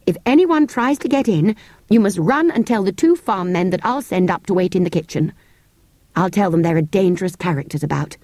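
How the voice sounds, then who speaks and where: A woman's voice: on the low side, mellow, no room sound. A woman voicing a firm but loving mother in a storybook, giving orders with emphatic authority. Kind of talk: storybook character voice.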